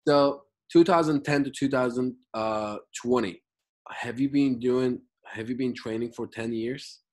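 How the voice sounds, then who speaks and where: A man from Turkey talks in English with a clear Turkish accent, his first language still audible in every phrase. He chats casually and animatedly about coaching basketball, his voice jumping up in pitch as he explains. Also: measured pace, darkish tone. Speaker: basketball skills trainer, interviewer. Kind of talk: casual conversation.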